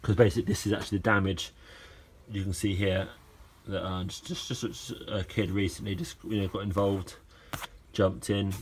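A man's voice: deep voice, little pitch movement, moderate pace, a little hiss or hum, low-quality recording. A man casually talking through a project while filming it on his phone outside a garage, rambling with fillers and restarts. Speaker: car owner vlogger. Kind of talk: casual how-to vlog. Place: outdoor garage yard. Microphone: phone camera held while filming.

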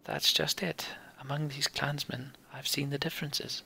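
Casting: a man as pampered young game prince, idealistic, formal, pitched a little up, faint background noise. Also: fairly even intonation, moderate pace, vocal fry, sharp tone. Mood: earnest.

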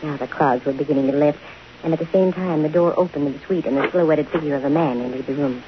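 A woman's voice: low voice, quick pace, close to an everyday voice. An actress playing a bold, dryly wisecracking female private investigator in a 1950s radio detective drama. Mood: reflective. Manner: dry, wisecracking. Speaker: lead actress and first-person narrator of a detective radio show. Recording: hissy, muffled old radio recording.

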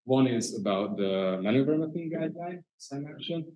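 A man with a Hungarian accent giving a conference presentation, halting often with filler sounds. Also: mid-range voice, lively intonation, warm tone, highs cut off, slight background noise. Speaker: conference speaker. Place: conference hall.

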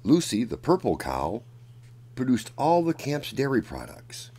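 A man reading a children's picture book aloud as a storyteller, in a deliberate, dramatic manner. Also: mid-range voice, wildly swooping pitch, warm tone, husky. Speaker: children's storyteller and channel host.

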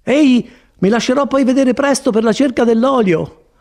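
A man's voice: very high-pitched, normal speed, a little mellow, voice pushed up. A man voicing a folksy, placid friar who rambles on consolingly in an audiobook story. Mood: coaxing. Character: chatty, placid old-fashioned friar, folksy and evasive. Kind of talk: dramatized audiobook reading.

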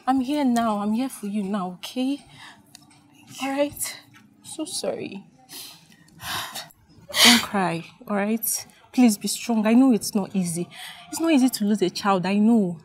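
An actress playing a woman friend who consoles a grieving mother with soft, repeated reassurances. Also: mid-range voice, measured pace, pauses often, slight background noise, lightly acted. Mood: soothing. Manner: soothing, repetitive reassurances. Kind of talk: film drama acting.

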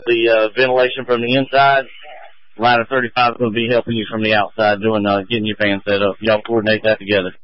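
A man's voice: lowish voice, little pitch movement, fast, dry recording. A man speaking as a fire incident commander over a two-way radio at a fire scene. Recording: muffled two-way radio transmission.

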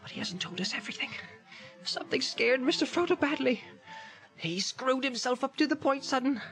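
A man's voice: very high voice, animated, a little fast, fairly bright tone, faint music behind, voice pushed up, exaggerated voice, rough recording. A man voicing an anxious, loyal hobbit servant with a rustic, folksy manner in a storybook reading. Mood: agitated reasoning. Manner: rustic, plain folksy grammar, muttering and exclaiming.

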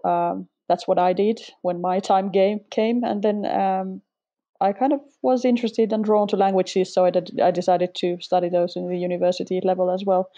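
Husky, creaky Finnish woman, mellow. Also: lowish voice, highs cut off. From Finland. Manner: relaxed, chatty, laughs often, frequent restarts. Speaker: guest, sketchnoter and former language teacher. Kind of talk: casual interview conversation.

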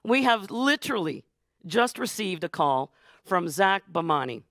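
Hoarse, raspy high-voiced gala auctioneer. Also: male voice, very animated, fairly bright tone, dry recording, clean studio sound. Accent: Canadian. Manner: rapid hype, calling out donors. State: hoarse, losing voice. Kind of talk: high-energy live fundraising emcee. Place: studio stage with blue curtains.

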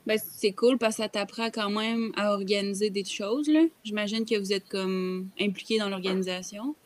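A woman's voice: mid-range voice, level tone, normal speed, no room sound. A young woman with a Québécois accent chatting casually on a video-call podcast from a room at home. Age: young adult. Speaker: podcast panelist. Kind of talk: casual chat.

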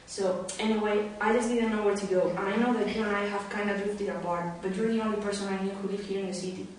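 A woman's voice: mid-range voice, fairly even intonation, quick pace, close to an everyday voice. A woman with a Spanish accent playing a flustered, rambling young runaway bride in an amateur script reading. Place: wooden-floored room indoors. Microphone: far camera. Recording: echoey room, distant mic, faint noise.

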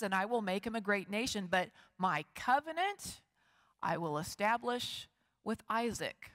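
Woman pastor in a reverberant sanctuary. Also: mid-range voice. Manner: measured, expressive reading with slow emphatic pauses. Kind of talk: scripture reading and sermon. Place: large wood-paneled church sanctuary. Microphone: lectern and stand microphone, far camera. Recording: long church hall reverb.

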